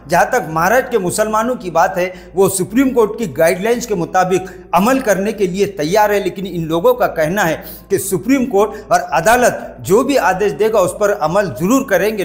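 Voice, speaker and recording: Male news anchor, high-pitched voice, formal scripted reporting, direct address to viewers.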